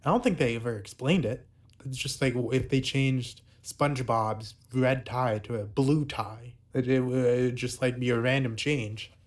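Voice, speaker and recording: A man's voice: mid-range voice, unhurried. A man narrating an informal video essay as commentary, with faint music behind his voice. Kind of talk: informal commentary on a puppet series.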